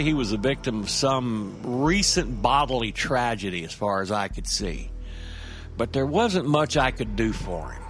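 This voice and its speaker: A man acts out an earnest everyman narrating a creepy audio drama tale. He stammers and restarts in a folksy, conversational way, hesitant throughout. Music sits under his mid-pitched voice. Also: fairly bright tone. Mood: bemused. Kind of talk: first-person audio drama monologue.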